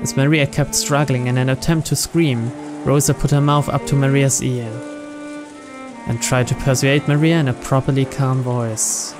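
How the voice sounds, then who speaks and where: Man narrating over clear background music. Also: medium pitch, steady tone, moderate pace. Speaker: narrator, let's player. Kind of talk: reading visual novel narration aloud.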